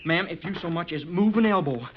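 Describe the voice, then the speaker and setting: A man plays an exasperated young cowboy with an American western drawl. The folksy voice jumps around in pitch, on a muffled, slightly hissy old radio drama recording. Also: lively intonation, fairly quick, close to an everyday voice. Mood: flustered, exasperated. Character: flustered, reluctant young cowhand.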